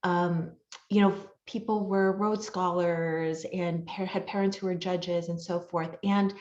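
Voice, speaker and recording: A middle-aged woman speaking earnestly on a panel, measured and full of fillers. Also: lowish voice, fairly even intonation, a little mellow, echoey room, dull audio. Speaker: panelist, labor studies teacher. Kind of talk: panel talk over video call.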